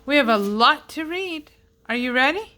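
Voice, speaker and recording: A woman's voice: high-pitched, lively intonation, moderate pace, bright, forceful tone. A woman chatting casually at home as she gets a family reading started. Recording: faint background noise.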